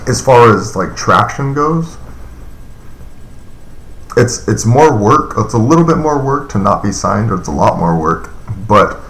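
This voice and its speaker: A man's voice: on the low side, a bit bright, reverberant, slight background noise, rough recording. A man in his thirties chatting casually on a live stream, reading and answering viewer comments in a loose, rambling way with lots of fillers. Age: 30s.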